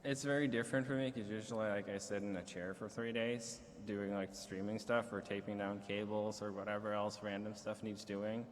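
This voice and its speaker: A man's voice: on the low side, little pitch movement, normal speed, hardly any pauses. A young Swedish man, a panel guest, speaking English with an American-sounding accent in casual, jokey talk. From Sweden. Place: convention hall stage. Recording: hall reverb, faint noise.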